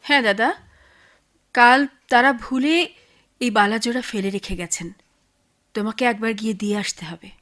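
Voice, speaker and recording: A woman's voice: fairly high pitch, moderate pace, pauses often, a bit bright, close to an everyday voice. A woman acting as an earnest young village sister in a Bengali radio drama.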